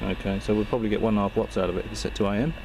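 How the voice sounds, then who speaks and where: A man's voice: on the low side, level tone, racing, vocal fry, background noise. A man chatting casually and talking on air as an amateur radio operator, with a hesitant, dryly joking manner. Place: vehicle outdoors in Perth suburbs. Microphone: handheld camera.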